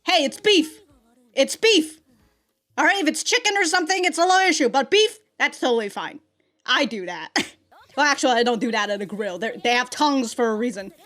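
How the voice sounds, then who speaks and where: High-voiced woman giving giggly reaction commentary. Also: expressive, normal speed, a bit bright. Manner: slangy, swearing, exclamatory; giggly, laughs often. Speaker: reaction video host.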